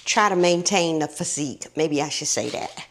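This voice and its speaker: Low, gravelly woman chatting to viewers. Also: slight background noise. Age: middle-aged. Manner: chatty, drawn-out, calls viewers honey and baby. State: slightly tired and scratchy. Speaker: vlogger. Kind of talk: casual chatty vlog.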